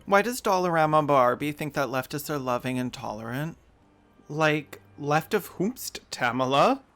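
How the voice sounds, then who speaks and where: A man's voice: a little high, expressive, normal speed, a bit bright, faint music behind. A man hosting a video essay, mixing sarcastic, mocking asides with plain argument.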